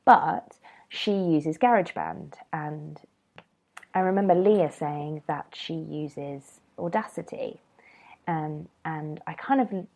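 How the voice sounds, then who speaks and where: A woman's voice: low-pitched, slow, creaky, a little mellow. A woman hosting a casual solo podcast, rambling and chatty with lots of ums, sounding a bit nasal from a cold. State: has a cold, slightly nasal. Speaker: podcast host.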